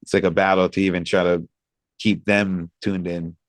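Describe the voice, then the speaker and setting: A man in his thirties from Hawaii, answering interview questions in a thoughtful, measured way with many pauses. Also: sits low, level tone, no echo. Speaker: guest, reggae singer. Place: outdoors by a pool among high-rise buildings.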